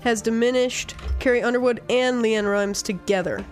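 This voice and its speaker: A woman's voice: a little high, moderate pace. A woman co-hosting a casual chat show, chatty and teasing, full of pop-music trivia. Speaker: co-host.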